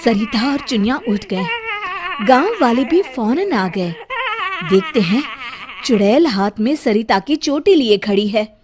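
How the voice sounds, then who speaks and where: A woman's voice: on the high side, lively intonation, unhurried, darkish tone. A woman narrating a scripted moral story expressively as a storyteller. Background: faint music.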